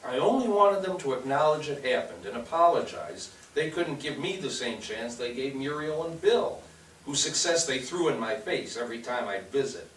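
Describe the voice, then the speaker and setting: Stage drama: male actor as wounded, resigned grown son, far from the mic with clear background noise. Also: medium pitch, animated, moderate pace, a bit bright, natural voice. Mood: resentful. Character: bitter older ex-Marine son, slowly forgiving his parents. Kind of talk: staged play reading. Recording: roomy stage, distant mic, noisy.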